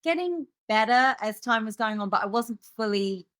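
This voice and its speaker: A woman's voice: on the high side, lively intonation, moderate pace, a bit bright, clean tone, no room sound. Female musician guest from the UK, candid storyteller, filler-heavy, dry recording. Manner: rambling, many fillers and restarts.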